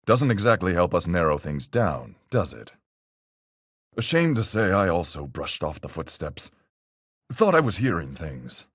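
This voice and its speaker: A deep-voiced seafaring man in a detective game, calm and methodical. His husky voice moves freely up and down as he argues in folksy sailor speech. The recording is dry and close. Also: no room sound, heavily acted. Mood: measured, reasoning. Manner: folksy nautical speech, measured and reasoning. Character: calm seafaring man, salty sailor-sleuth reasoning through a murder. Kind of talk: video game voice acting, deliberate argument.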